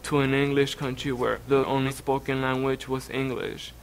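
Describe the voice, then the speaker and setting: A man's voice: medium pitch, level tone, vocal fry, slight background noise, poor audio. A man whose first language is Spanish, speaking reflectively in a played video clip. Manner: slow, reflective. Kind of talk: personal interview clip.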